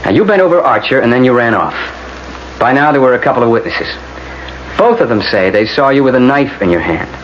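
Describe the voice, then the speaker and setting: Man playing a blunt, animated, world-weary lawyer. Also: medium pitch, a little fast, natural voice. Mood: stern. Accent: American. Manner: blunt, sardonic, authoritative. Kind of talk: 1980s radio drama acting. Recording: muffled, noisy old radio broadcast.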